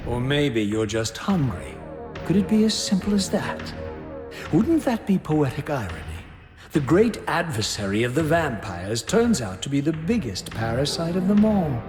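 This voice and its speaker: Undead wraith antihero, very expressive, biting, eloquent, scornful, game drama, long hall echo. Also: male voice, fairly high pitch, moderate pace. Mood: scornful, sardonic. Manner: eloquent, sardonic, biting theatrical diction. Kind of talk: dramatic video game voice acting. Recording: echoey hall reverb with music behind.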